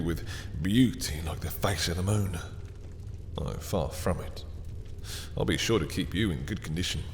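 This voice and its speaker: Man playing a very low, creaky seductive vampire. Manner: slow, silky, commanding, condescending endearments. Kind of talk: intimate roleplay audio drama acting. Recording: long reverb, faint background noise.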